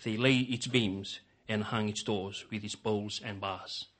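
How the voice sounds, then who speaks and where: A man whose first language is Fijian preaches in English, in short phrases that leave room for an interpreter. His voice sits a little low, and his delivery is broken up by fillers. He rises to loud exclamations of praise. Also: fairly even intonation, a little slow. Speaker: guest preacher. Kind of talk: sermon in English with live interpretation.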